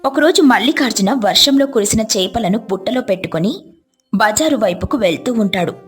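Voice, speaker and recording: A woman's voice: on the high side, animated, fairly quick, husky, faint music behind. A woman narrating a scripted devotional moral story as a storyteller.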